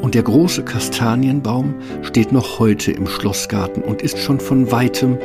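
A man's voice: mid-range voice, measured pace. A man narrating a scripted fairy tale as storyteller. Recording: music underneath.

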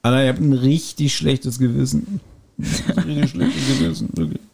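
A man's voice: mid-range voice, moderate pace, gravelly, darkish tone, slightly rough. A man co-hosting a podcast in casual chat, rambling with restarts and laughing easily. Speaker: podcast co-host.

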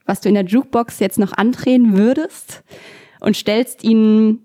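A woman's voice: on the high side, fairly even intonation, moderate pace, mellow, no room sound, clean studio sound. A woman hosting an interview podcast, asking long, friendly, rambling questions. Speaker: podcast host.

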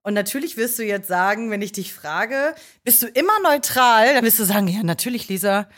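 A woman's voice: fairly high pitch, animated, fairly quick, a bit bright. A young woman hosting a podcast, asking long questions in a chatty way and laughing often. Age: young adult. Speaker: podcast host. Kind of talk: podcast interview.

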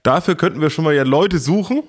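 A man with a fairly high voice telling a long anecdote on a casual podcast. His delivery is ironic and amused, with dry sarcasm running through it. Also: animated, quick pace. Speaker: podcast co-host.

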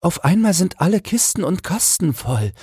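Man putting on a high, anxious miller's wife. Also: sing-song, normal speed, a little mellow, husky, dry recording, clean studio sound, full character voice. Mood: puzzled.

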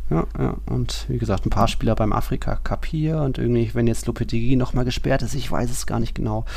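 Slightly creaky-voiced man chatting football. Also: medium pitch, steady tone, fast talker, darkish tone. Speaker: football podcast co-host. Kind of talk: casual sports discussion.

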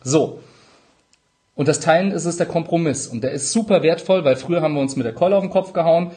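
A man's voice: a little high, quick pace, dark tone. A man, explaining conversationally as an interview guest and illustrating his points with examples. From Palatinate, Germany. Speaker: interview guest, lawyer, mediator and negotiation trainer.

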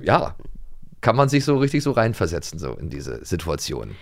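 A man's voice: sits low, wildly swooping pitch, moderate pace. A man co-hosting a podcast in casual chat, telling things in a playful, rambling way. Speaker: podcast co-host.

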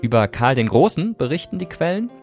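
A man's voice: medium pitch, big pitch swings, fairly quick, a little mellow, soft background music. A man hosting a podcast, reading scripted narration in a conversational way that addresses listeners directly. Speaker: podcast host.

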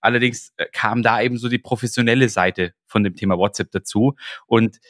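A man's voice: fairly low pitch, a little fast, fairly bright tone, no room sound, clean studio sound. A man hosting a podcast interview, talking with enthusiasm and plenty of fillers and restarts. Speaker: podcast host.

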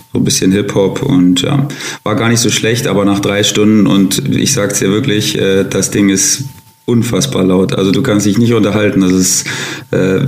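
Man, low gravelly voice, flat delivery, emphatic storyteller, sports podcast co-host. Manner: lively storytelling, emphatic, restarts sentences. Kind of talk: casual sports podcast chat. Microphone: phone line.

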